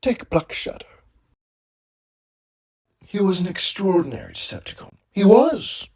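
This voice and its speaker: A man's voice: high voice, very animated, a little slow, a little mellow, exaggerated voice. A man voicing an eloquent, sadistic robot leader of a hit squad in a comic dub, with theatrical, menacingly polite monologues. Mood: grandly sardonic. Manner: theatrical, menacingly polite, soliloquizing, dropping to whispers.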